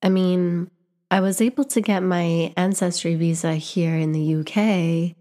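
A woman's voice: lowish voice, steady tone, a little slow, mellow. A young woman from Canada talking earnestly and reflectively to camera, often restarting and repeating words as she searches for what to say. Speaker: vlogger and teacher. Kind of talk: reflective personal vlog monologue.

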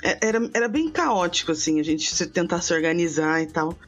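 A woman's voice: fairly low pitch, lively intonation, brisk, faint music behind. A woman in her thirties hosting a podcast, telling a long story in casual chat with many restarts and asides. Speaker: podcast host.

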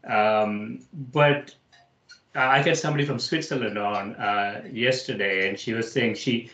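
A man's voice: mid-range voice, measured pace, bright, forceful tone. Echoey male interview host from India. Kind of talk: casual conversational interviewing.

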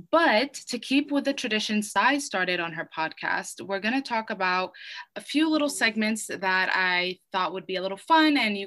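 A woman's voice: mid-range voice, bright, forceful tone. A young woman hosting a casual podcast interview, bubbly and enthusiastic. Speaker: podcast host.

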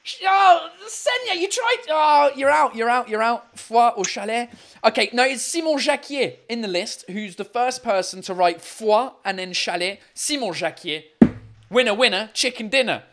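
Rowdy, laughing livestream quiz host. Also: male voice, extremely high pitch, lively intonation, moderate pace. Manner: loud, laughing, swearing, rambling with fillers. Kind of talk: casual livestream chat with viewers.

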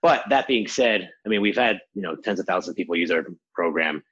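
Man, language teacher, husky voice, lively explaining, muffled audio. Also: deep voice, fairly quick. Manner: animated explainer, acts out quotes. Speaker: language teacher, podcast guest. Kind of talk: conversational interview answers.

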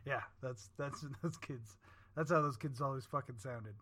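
A woman's voice: a bass voice, normal speed, noisy background. A young woman co-hosting a podcast, joking crudely and swearing a lot while laughing. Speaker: podcast co-host. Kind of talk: casual chat.

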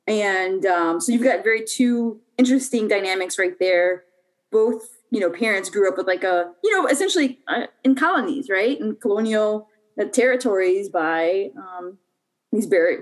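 A woman's voice: mid-range voice, rough recording. A young adult woman from Puerto Rico, a podcast guest telling her life story in a casual, chatty way with lots of fillers and restarts.

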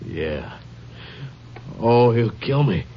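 A man's voice: mid-range voice, animated. An actor playing a cowardly, stammering outlaw henchman under pressure in a 1950s radio crime drama. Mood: frightened, pleading. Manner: halting, stammering. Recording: muffled, noisy vintage radio recording.

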